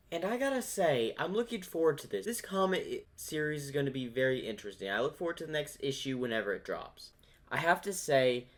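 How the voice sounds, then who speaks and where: A man's voice: medium pitch, fairly bright tone. A man giving casual off-the-cuff reaction commentary as he watches a video.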